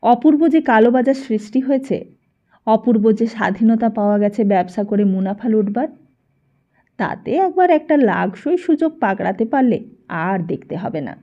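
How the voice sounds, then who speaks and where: A woman's voice: a little high, lively intonation, normal speed, lo-fi sound. A woman reading a literary short story aloud as scripted audiobook narration.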